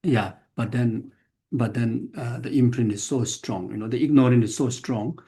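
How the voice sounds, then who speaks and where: Older male Buddhist teacher, gravelly, mellow tone, halting delivery full of restarts. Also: medium pitch. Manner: halting, repeats words and restarts phrases often. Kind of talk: dharma teaching to an audience.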